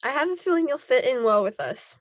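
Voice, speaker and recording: A woman playing a calm, reassuring superhero team leader in a table read of a scripted drama. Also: sits high, fairly quick, no room sound, studio quality. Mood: welcoming.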